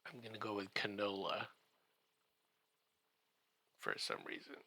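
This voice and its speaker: A man giving a comedic podcast recap in a halting, drawn-out way, full of wry joking asides. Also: on the low side, sing-song, creaky, bright, forceful tone, dry recording, low-quality recording.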